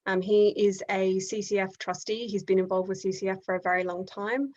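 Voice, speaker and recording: An Australian woman hosts an online event over a video call, with many ums and self-corrections as she goes. Her voice sits mid-pitch. The audio is a little dull and lo-fi. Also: fairly even intonation, a little fast, a little mellow. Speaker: event host.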